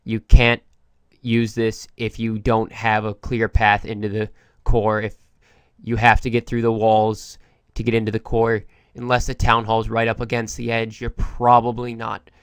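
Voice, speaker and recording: A young man with a low, fairly flat voice talks through game footage, explaining tactics patiently. A cold is wearing on his voice, though it stays clean and clear. The close, dry sound puts him right at the mic. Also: measured pace, no echo. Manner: explanatory, walks through attacks step by step. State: has a cold, voice going. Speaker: gaming commentator. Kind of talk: game commentary over replay footage.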